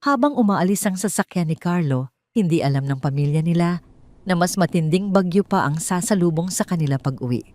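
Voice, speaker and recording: A woman with a Filipino accent reads a scripted dramatic story in a measured, emphatic way. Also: on the low side, animated, darkish tone.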